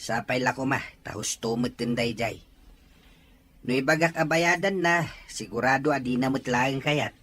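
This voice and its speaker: A man's voice: mid-range voice, normal speed, a bit bright, close to an everyday voice. A man with an Ilocano accent playing a patient, fair-minded farmer father in an audio drama. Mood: resigned, thoughtful. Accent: Ilocano, from the Philippines.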